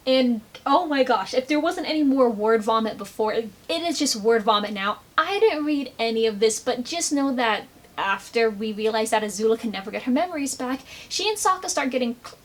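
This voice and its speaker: A woman giving a casual, animated commentary recap with sarcastic asides. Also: a little high, a little fast. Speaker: video commentator.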